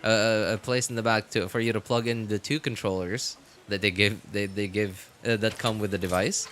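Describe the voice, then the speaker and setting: A man's voice: on the low side, fairly even intonation, moderate pace, a bit bright, slight background noise. A man chatting casually as a game streamer, rambling and thinking aloud to his viewers. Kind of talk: casual rambling livestream chat.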